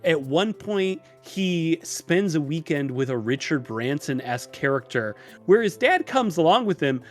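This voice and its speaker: A man talking casually as a podcast guest, telling stories in an animated, self-deprecating way with exasperated asides. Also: fairly high pitch, measured pace, soft background music. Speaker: podcast guest, illustrator and programmer.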